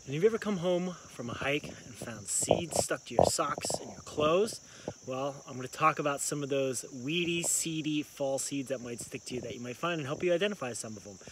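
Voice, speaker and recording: A man's voice: fairly high pitch, fairly quick, background noise. Easygoing man guiding a nature walk. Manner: easygoing, explanatory, loose filler words. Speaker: environmental educator. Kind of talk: casual outdoor nature walk lesson. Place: outdoors in woods and trail meadow. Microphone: phone camera at arm's length. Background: faint crickets and insects.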